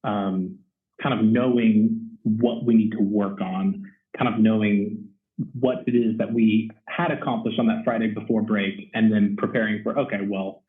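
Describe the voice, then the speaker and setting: A man's voice: on the low side, fairly even intonation, normal speed. A young man from Texas, a first-year choir teacher, chatting in an interview with lots of ums and restarts. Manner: rambling with many ums and restarts. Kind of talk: casual interview chat. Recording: echoey, distant, phone-like audio.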